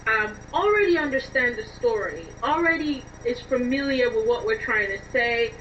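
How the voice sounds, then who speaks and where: A woman being interviewed as a writer and musician, answering conversationally in an expressive, emphatic way. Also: fairly high pitch. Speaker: interviewed playwright and songwriter. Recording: dull, distant mic with faint noise.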